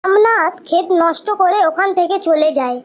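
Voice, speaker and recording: Very high-pitched woman storyteller. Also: expressive, a little fast, lo-fi sound. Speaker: narrator. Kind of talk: scripted children's storybook narration.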